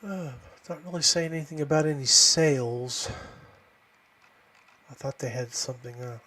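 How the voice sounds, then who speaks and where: Man, slightly high voice, podcast host, folksy casual chat, halting delivery, trailing off. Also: bright, forceful tone.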